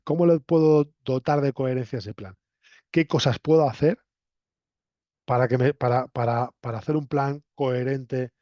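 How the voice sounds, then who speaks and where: Man, Castilian Spanish accent, mellow voice, conversational lecturer, frequent tag questions, muffled lo-fi audio. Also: mid-range voice. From Spain, La Mancha. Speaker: university lecturer. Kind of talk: interactive lecture to students. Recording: roomy echo, dull lo-fi sound.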